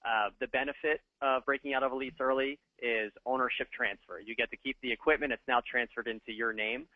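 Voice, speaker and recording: A man explaining equipment financing in a steady, plain way during a webinar. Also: medium pitch, a little fast, no echo. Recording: tinny phone-like line.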